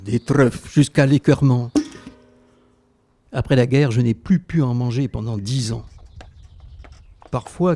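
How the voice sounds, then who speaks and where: A man's voice: mid-range voice, vocal fry, dark tone, a little hiss or hum. A man playing a reflective former resistance fighter, narrating his memories in a scripted first person.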